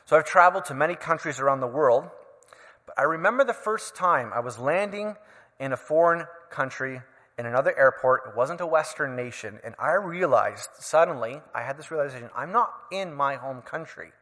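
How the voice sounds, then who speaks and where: A man from Canada preaching a sermon in a conversational, explanatory way, with relaxed asides. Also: fairly high pitch, a little fast. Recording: long reverb of a large hall.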